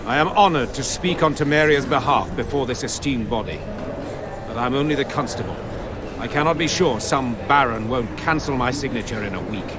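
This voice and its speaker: A man playing a proud, plain-spoken military constable addressing a royal council in formal, measured speech in a fantasy game. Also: mid-range voice, background noise, close to an everyday voice. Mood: grave, formal. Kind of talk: formal dramatic game acting.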